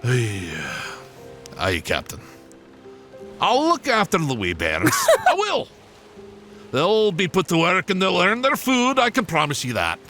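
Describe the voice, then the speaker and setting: A bashful, good-hearted Scottish gunner aboard a sailing ship. His mid-pitched voice swoops up and down as he hesitates and feels for words. Music plays behind this audio drama performance. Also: huge pitch swings, pushed and bright, exaggerated voice. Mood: earnest, deferential. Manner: halting, hesitant, stammering. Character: kindly, bashful ship's master gunner.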